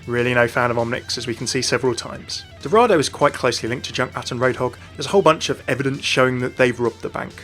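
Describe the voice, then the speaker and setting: Fast-talking man narrating game lore. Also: mid-range voice, fairly bright tone, faint music behind. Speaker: video narrator. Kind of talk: scripted game lore commentary.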